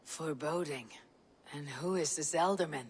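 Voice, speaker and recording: A woman playing a stoic, weary Viking warrior leader in a video game, speaking in a terse, grave, measured way. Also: low voice, breathy edge. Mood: guarded. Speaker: lead game character. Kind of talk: video game cutscene acting. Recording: background ambience noise.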